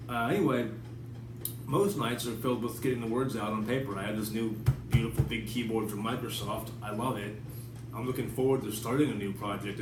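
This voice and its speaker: A man talking casually and off the cuff in a blunt, rambling, sometimes profane way, as the host of his own vlog. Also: mid-range voice. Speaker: writer hosting his own video channel. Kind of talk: casual unscripted vlog talk. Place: indoors at home in an apartment. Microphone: tablet camera at a distance. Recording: distant, echoey room with clear background noise.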